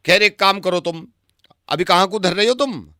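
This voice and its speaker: A man's voice: high voice, normal speed, bright, forceful tone, clear, resonant tone, no echo, clean studio sound, pitched above the performer's own voice. A man voicing a surly rustic bank clerk on a prank call, rambling and scolding in a rural North Indian Hindi accent. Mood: irritated, scolding.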